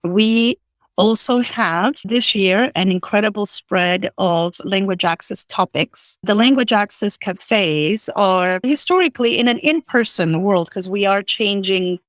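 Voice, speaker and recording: A woman's voice: mid-range voice, dry recording. A middle-aged woman with an Italian accent, explaining her organization's work earnestly as an interview guest. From Italy. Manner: earnest, explanatory, frequent restarts. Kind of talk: virtual interview.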